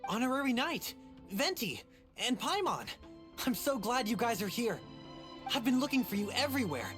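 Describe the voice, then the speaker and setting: A woman's voice: a little high, normal speed, music in the background. A woman voicing an earnest, eager young lad who wants to be an adventurer, in a video game. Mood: excited, grateful. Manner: earnest, expressive.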